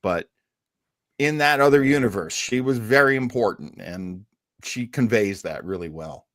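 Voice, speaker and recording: A man's voice: lowish voice, lively intonation, a little slow, no room sound, studio quality. An older man chatting casually as a podcast host in a fan discussion, with a self-deprecating manner.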